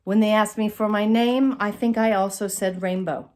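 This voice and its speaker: A middle-aged woman telling a personal comic story to camera in a theatrical, expressive way with dramatic pauses. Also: medium pitch. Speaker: storyteller, channel host. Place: indoors, small curtained room. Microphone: phone at arm's length.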